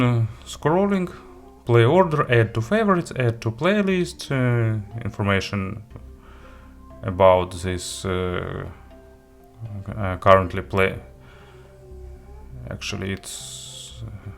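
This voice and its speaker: A man who speaks English as a second language, hosting a casual, unscripted product walkthrough in a halting way, correcting himself as he goes. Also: medium pitch, expressive, gravelly, faint music behind. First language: not English. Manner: halting, hesitant delivery with self-corrections and listed items. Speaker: tech reviewer and host.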